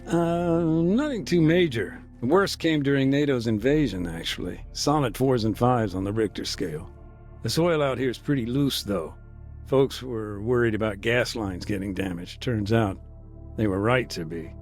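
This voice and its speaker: An actor playing a weary, earnest humanitarian worker recounting a war in a measured, hesitant way for a video game. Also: male voice, mid-range voice, a little mellow, faint music behind, close to an everyday voice. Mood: measured, matter-of-fact. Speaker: humanitarian aid worker being interviewed. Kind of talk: naturalistic video game dialogue, interview recollection.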